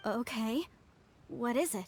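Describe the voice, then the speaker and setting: A woman's voice: fairly high pitch, slow pace, darkish tone, slight background noise. A woman voicing an earnest young female guide who speaks politely and a little hesitantly. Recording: game audio with faint music.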